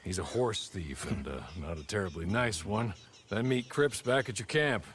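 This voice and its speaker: A man's voice: lowish voice, normal speed, a bit bright, slight background noise, close to an everyday voice. A man playing a proper, wry servant. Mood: dry. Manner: formal, deferential and wry. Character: polite male servant, dry and proper.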